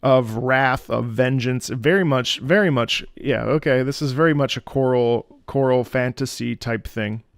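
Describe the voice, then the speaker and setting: Low-voiced man with a gravelly edge. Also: unhurried, few pauses, a little hiss or hum. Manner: breaks into sung demonstrations of musical phrases. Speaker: opera singer reacting to game music. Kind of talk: music reaction commentary.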